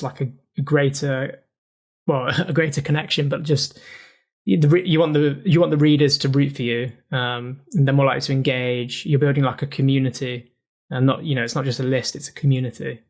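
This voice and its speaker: A man explains his newsletter editing work in a relaxed, casual way, peppering his talk with "like" and "um". His pitch stays quite flat and even throughout. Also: brisk. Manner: relaxed, many fillers like "like" and "um". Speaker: newsletter editor.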